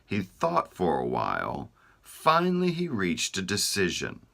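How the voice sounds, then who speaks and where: A man reads a children's folktale aloud at an unhurried pace. His voice is fairly low yet bright, and his pitch moves a lot from phrase to phrase. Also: vocal fry. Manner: slow, lively storytelling, voicing body parts in raised pitch. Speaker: storybook reader.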